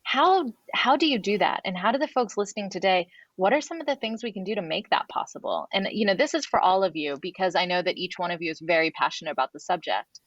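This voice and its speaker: A woman's voice: medium pitch, lively intonation, fast, hardly any pauses, no echo, dull audio. A woman moderating a panel who asks encouraging, personal questions.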